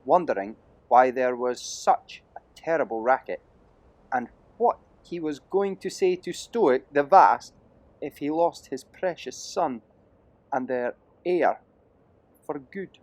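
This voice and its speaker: A man's voice: mid-range voice, lively intonation, slow pace, darkish tone, no room sound, rough recording. A man narrating an adventure audiobook as a dramatic, theatrical storyteller with emphatic delivery.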